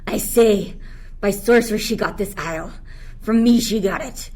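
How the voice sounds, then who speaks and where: Resentful, dreamy monster servant played by a woman, medium pitch, plotting in Shakespearean radio play. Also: moderate pace, a little mellow, lightly acted. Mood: scheming, resentful. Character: resentful enslaved island monster, scheming yet dreamy. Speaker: student actor.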